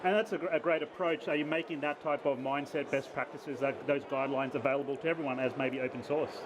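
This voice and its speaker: A man co-hosting a casual live-stream interview, dropping quick agreeing backchannels. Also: mid-range voice, fairly even intonation, background noise. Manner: quick backchannels, frequent laughs. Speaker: co-host.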